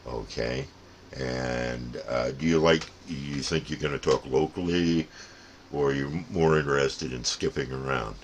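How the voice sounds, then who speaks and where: A man's voice: rumbling low, unhurried, a little hiss or hum. An older man giving a casual, rambling, folksy explanation as a plain-spoken hobbyist host. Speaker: radio hobbyist and channel host.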